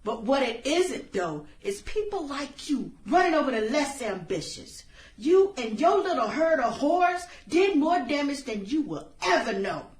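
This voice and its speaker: Slow, taunting vengeful woman, dramatically animated acted by a woman. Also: high-pitched. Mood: scornful. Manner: sarcastic, theatrical, drawn-out phrasing with breathy whispers. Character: bitter, taunting adult woman, vengeful former high school outcast turned killer. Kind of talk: dramatic dialogue in satirical radio drama.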